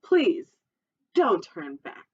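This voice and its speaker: A woman's voice: medium pitch, huge pitch swings, very slow, breathy edge, muffled recording, full character voice. A woman voicing a sly, scheming male fox in a children's picture book, drawing out her words.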